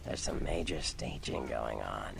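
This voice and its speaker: An extremely deep, heavily gravelled male vampire fixer from a video game. He is streetwise, blunt and sardonic, talking with dry contempt and taking his time. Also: lively intonation, a little slow, heavily acted. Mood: gruff, matter-of-fact.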